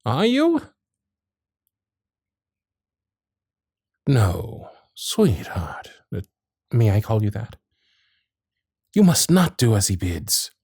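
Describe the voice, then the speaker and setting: A man playing a courteous, protective Victorian gentleman suitor in a romantic period ASMR roleplay, with formal, old-fashioned phrasing and dramatic pauses. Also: fairly low pitch, huge pitch swings, slightly rough, no echo, clean studio sound, exaggerated voice. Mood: earnest, indignant. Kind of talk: romantic period ASMR roleplay, intimate one-sided dialogue.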